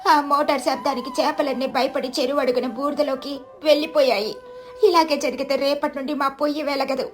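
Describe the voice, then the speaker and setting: A woman's voice: high-pitched, fairly even intonation, a little fast, soft background music, pitched above the performer's own voice, lightly acted. A woman voicing a distressed, crying fisherwoman in a moral story. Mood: tearful. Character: tearful, distressed poor fisherwoman.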